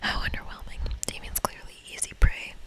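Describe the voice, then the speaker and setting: A woman's voice: brisk, close to an everyday voice. A woman voicing an irritable, scheming young female monster from a video game, snappy and melodramatic, all in a whisper. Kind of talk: whispered video game dialogue read aloud.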